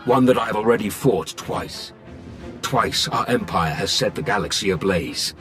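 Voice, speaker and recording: An actor playing a grim, imperious Sith warlord in a video game, speaking in solemn, measured, commanding declarations. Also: male voice, lowish voice, animated. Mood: grave, resolute. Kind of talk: dramatic video game acting. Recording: loud game soundtrack music behind.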